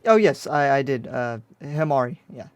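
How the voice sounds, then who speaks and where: A man playing a curious, easygoing demon slayer in an improvised anime roleplay, with a halting, attentive way of talking. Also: medium pitch, animated, smooth, no room sound, natural voice. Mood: casual, hesitant. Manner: halting, attentive, murmuring acknowledgements. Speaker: roleplay player. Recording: background music under the voice.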